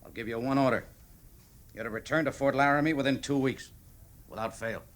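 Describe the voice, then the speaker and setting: Senior cavalry officer, male actor, medium pitch, formal and measured, a sober commander in a vintage radio western. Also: noisy background, close to an everyday voice. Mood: reluctant, firm. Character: measured, reluctant male army major, superior officer. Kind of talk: classic old time radio drama acting. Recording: vintage radio drama recording.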